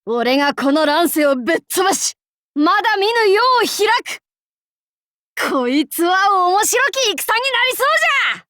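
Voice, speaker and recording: A woman playing a brash, cocky young feudal warlord in an anime game, with rough, masculine, archaic and boastful speech. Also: very high voice, expressive, a little slow, a bit bright, no room sound, clean studio sound, heavily acted. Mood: confident, eager. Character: brash, cocky young feudal warlord, self-styled fool, fiercely loyal. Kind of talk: anime game character voice acting.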